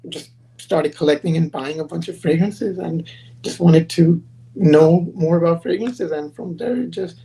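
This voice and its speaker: A man's voice: on the high side, moderate pace, warm tone, low-quality recording. A man in his thirties, born in Pakistan and raised in Los Angeles, answering interview questions over a video call in a casual, friendly storytelling way. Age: 30s. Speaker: guest, perfume brand founder.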